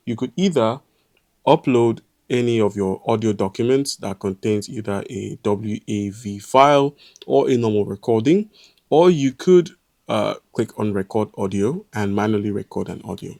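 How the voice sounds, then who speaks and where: A man giving a step-by-step software tutorial, explaining calmly with frequent "uh" pauses. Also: lowish voice, gravelly. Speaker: tutorial presenter. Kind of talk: screen-recorded software walkthrough.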